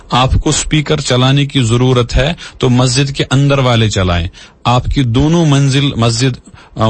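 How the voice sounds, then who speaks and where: A man working as an Islamic scholar, answering questions in a measured, explanatory way with formal diction. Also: mid-range voice, no echo. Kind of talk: religious question-and-answer talk.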